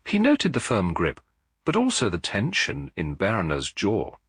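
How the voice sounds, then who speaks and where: A man reading a scripted mystery story as an audiobook narrator, in a measured, formal, dramatic storytelling manner. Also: on the low side, wildly swooping pitch, breathy edge, no echo.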